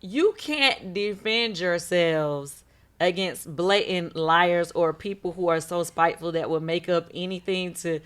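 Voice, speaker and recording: A woman with a somewhat low, hoarse voice hosts a casual talk show. She speaks bluntly and emphatically, leaning hard on her words. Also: unhurried, a bit bright. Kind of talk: casual gossip chat.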